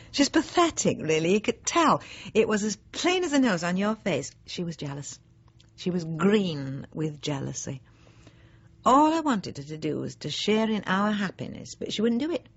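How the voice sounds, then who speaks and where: An actress playing a snobbish, self-satisfied middle-aged housewife who chats and justifies herself in a radio drama monologue. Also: female voice, fairly low pitch, husky, muffled recording, full character voice. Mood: aggrieved, pitying. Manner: chatty, self-justifying, genteel, digressive with pointed emphasis.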